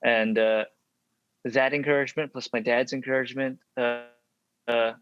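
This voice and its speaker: A young adult man from Texas, an actor and podcast guest, telling stories in a relaxed interview, reflective and full of ums and restarts. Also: medium pitch, moderate pace. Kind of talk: casual interview storytelling. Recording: dry, slightly dull sound.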